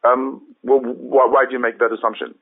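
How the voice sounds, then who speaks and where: A man's voice: medium pitch, little pitch movement, moderate pace, creaky, slightly rough. An actor playing an affronted, pedantic man calling a spoof phone-in, speaking formally with exasperated stammers. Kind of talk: spoof radio phone-in call. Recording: muffled, narrow phone line.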